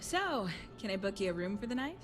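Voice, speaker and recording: An actress voicing a coy, polished hotel keeper with a teasing manner in a science fiction video game. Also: female voice, medium pitch, sing-song, brisk, faint music behind, close to an everyday voice. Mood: coy, confiding. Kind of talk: video game character dialogue.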